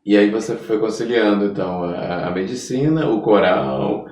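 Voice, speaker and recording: A man's voice: fairly low pitch, animated, normal speed, darkish tone. A Brazilian man hosting a casual interview, chatting with his guest in a conversational style. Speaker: podcast host, interviewer. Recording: roomy, echoing sound.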